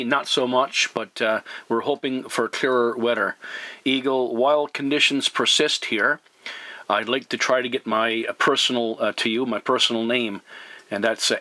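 A man talking as a CB radio operator, courteous and deliberate, using procedure words. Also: mid-range voice, bright, forceful tone, no echo. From Canada.

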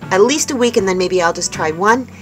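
A woman's voice: medium pitch, expressive, brisk, soft background music. A woman making a homestead vlog, chatting casually to her viewers as she shows a cooking step by step. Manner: chatty, upbeat, talks to viewers as folks. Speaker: homestead vlogger. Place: indoors in a small tiny-house kitchen.

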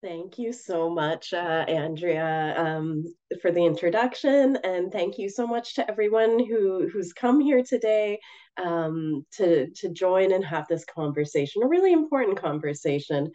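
A woman with a raspy, hoarse voice from a cold, giving a gentle, measured webinar presentation from Canada over a video call. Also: lowish voice, lively intonation, highs cut off. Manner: gentle, measured, with frequent ums.

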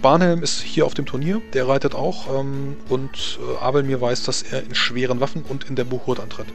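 A man improvising narration as game master of a tabletop role-playing game, often halting as he searches for words. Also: mid-range voice, fairly even intonation, gravelly, soft background music.

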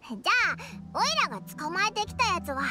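Squeaky, tiny fairy sidekick. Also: female voice, sing-song, quick pace. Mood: surprised, nonchalant. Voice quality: squeaky, childlike. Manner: perky, cutesy, self-assured. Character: tiny childlike floating fairy companion, cheeky and proud. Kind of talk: anime-style game voice acting. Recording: studio voice over loud game music. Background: loud background music.

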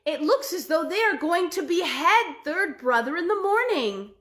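A worried middle brother who sees danger from far off, put on by a woman storyteller. He sounds dismayed, with a high, raised voice. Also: big pitch swings, normal speed, a bit bright, full character voice.

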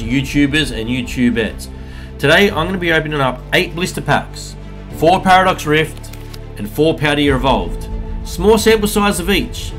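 A man in his thirties, his voice slightly husky after a short illness, talks at an ordinary pitch in an upbeat, chatty style. He speaks into a desk mic in a room at home, and faint music plays underneath. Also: a bit bright. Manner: upbeat, hype-building, chatty. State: slightly husky from a recent bug. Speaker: card-opening YouTuber host. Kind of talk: casual pack-opening vlog. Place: home room with colored lights. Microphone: studio mic on a desk arm.

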